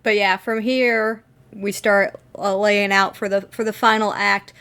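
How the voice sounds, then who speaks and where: A woman's voice: medium pitch, level tone, measured pace, fairly bright tone, smooth. A woman co-hosting a podcast, chatting casually and enthusiastically as a giggly fan recapping a TV episode. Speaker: podcast co-host.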